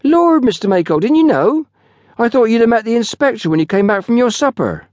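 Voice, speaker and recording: A man's voice: very high-pitched, animated, brisk, darkish tone, no room sound, pitched above the performer's own voice. A man voicing a young, self-important, sulky railway porter with a colloquial, gossipy manner in a narrated story. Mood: important, surprised.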